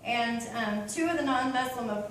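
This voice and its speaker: A woman's voice: a little high, moderate pace, very echoey, distant mic, a little hiss or hum, poor audio. A woman from Oklahoma with an Oklahoma accent, talking in a chatty, open way with many ums and you-knows. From Oklahoma, USA. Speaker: convert giving a talk. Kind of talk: casual personal talk.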